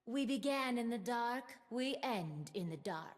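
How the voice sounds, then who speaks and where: A young female game mage with a high voice. She speaks in short theatrical lines, poised and teasing, over faint music. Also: lively intonation, unhurried. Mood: dark, brooding. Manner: theatrical, clipped one-liners. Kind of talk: video game character voicelines.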